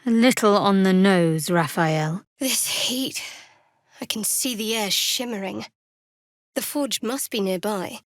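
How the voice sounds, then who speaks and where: A woman playing a guarded, devout young female cleric in a fantasy role-playing game, with a measured, dry and wry delivery. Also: medium pitch, dry recording, lightly acted. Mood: watchful, observing.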